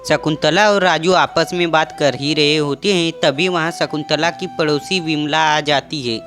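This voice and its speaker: A narrator telling a cartoon horror story in a steady, matter-of-fact way. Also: medium pitch, moderate pace. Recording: music bed under the voice.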